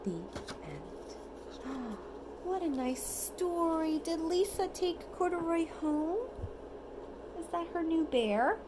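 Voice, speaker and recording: A woman reading a bedtime storybook aloud in an expressive, child-directed way, on an amateur home recording. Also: very high-pitched, slow pace, background noise. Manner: animated, child-directed, asks the child simple questions.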